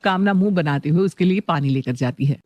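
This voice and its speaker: Lower-pitched woman narrating a story. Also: a little fast, no echo, lo-fi sound.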